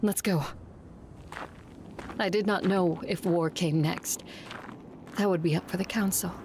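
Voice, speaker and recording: A woman acts out a stoic female vampire who leads and narrates with grave control. Her voice sits on the low side and her phrasing is formal and measured. Clear noise runs behind this audio drama performance. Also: fairly even intonation. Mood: wistful, controlled. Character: stoic, commanding female vampire warrior, grieving but controlled. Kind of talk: first-person audio drama narration and dialogue.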